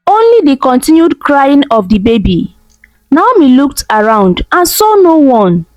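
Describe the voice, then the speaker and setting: A woman narrating a folktale in a gentle, expressive way. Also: high-pitched, dry recording, rough recording. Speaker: storyteller. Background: soft music.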